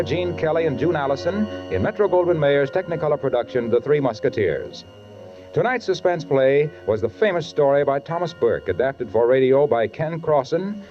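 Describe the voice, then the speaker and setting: Dull 1940s radio recording, male announcer, folksy salesman, animated, fairly high pitch. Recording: dull 1940s radio broadcast, music behind.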